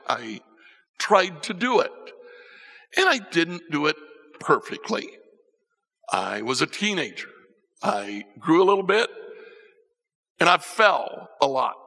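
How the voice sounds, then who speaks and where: An older man preaching a sermon from a church stage, telling stories and swinging between soft and emphatic lines. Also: mid-range voice, gravelly, breathy edge. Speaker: pastor. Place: church stage with band instruments. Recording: church hall reverb.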